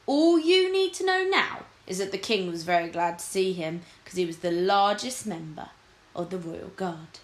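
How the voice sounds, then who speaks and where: Woman, teacher reading aloud, expressive storybook narration, medium pitch, roomy echo. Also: normal speed. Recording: echoey room.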